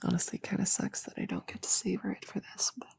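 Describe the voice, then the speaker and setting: A woman playing a friendly, slightly bumbling novice witch in a soft-spoken ASMR roleplay, breathy and often whispering, with a hesitant, casual way of talking. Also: mid-range voice, wildly swooping pitch, quick pace, heavily acted. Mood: reassuring. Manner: hesitant, casual, self-correcting.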